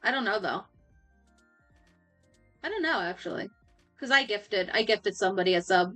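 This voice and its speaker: Giggly, bright-voiced young woman streamer. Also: mid-range voice, animated, moderate pace, soft background music. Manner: giggly, laughs often, rambling with restarts. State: losing her voice. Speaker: livestreamer. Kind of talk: casual chat.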